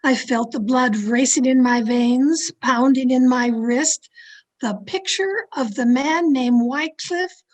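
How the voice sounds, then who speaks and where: A woman's voice: sits high, slow. A woman playing an anxious, guarded mystery novelist in an old-time radio drama, with a formal, theatrical delivery. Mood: tense, uneasy. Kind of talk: old-time radio drama acting, first-person suspense narration. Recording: remote video-call recording with music behind.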